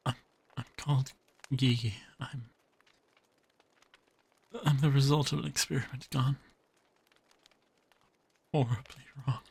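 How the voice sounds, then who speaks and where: A man voicing a frightened small hybrid jackalope creature in an audio drama, speaking haltingly in a raspy, creaky voice. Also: mid-range voice, steady tone, darkish tone, heavily acted. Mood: halting, grieving. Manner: halting, timid, stammering.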